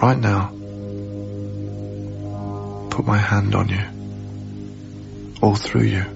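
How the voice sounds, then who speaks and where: Troubled young lodger, radio drama. Unhurried, fry-edged, halting, brooding; music under a dulled broadcast. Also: male voice, fairly low pitch, highs cut off, exaggerated voice. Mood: tender, yearning. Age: young adult. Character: troubled young male lodger, tender and haunted. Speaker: actor. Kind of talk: radio drama acting, intimate monologue.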